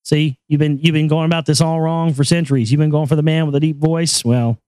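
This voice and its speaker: A man with a high voice hosting a casual talk show, joking crudely and rambling over news items. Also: steady tone, brisk, darkish tone, smooth, no echo, clean studio sound. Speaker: show host.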